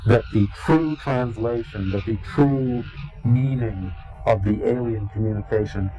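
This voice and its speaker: A man giving interview testimony in a grave, deliberate, halting and portentous way. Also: mid-range voice, mellow, highs cut off. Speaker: interviewee, claimed insider. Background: music.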